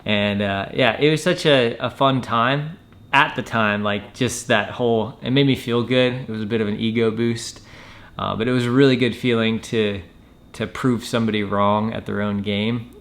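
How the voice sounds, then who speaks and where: A man telling a personal story in a casual, matter-of-fact way with hesitant pauses. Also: medium pitch, fairly even intonation, a bit bright. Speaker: storyteller, former Marine recruit.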